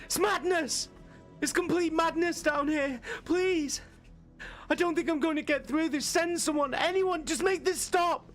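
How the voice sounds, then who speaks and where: A man's voice: extremely high pitch, a little slow, a bit bright, soft background music, pitched above the performer's own voice. A man voicing a terrified, desperate woman soldier pleading on a distress recording in a dramatic story reading. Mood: panicked, pleading. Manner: frantic, pleading.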